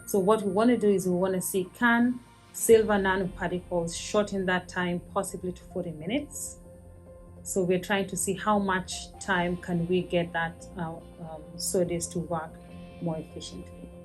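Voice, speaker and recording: A woman professor from Kenya, explaining her research in a measured way with frequent pauses and ums. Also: fairly low pitch, fairly even intonation, faint music behind. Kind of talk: interview about research.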